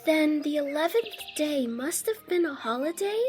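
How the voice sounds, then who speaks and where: A woman as a high-voiced, curious little girl. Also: lively intonation, normal speed, music in the background. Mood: curious, polite. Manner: polite, careful questioning. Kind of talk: scripted audiobook character acting.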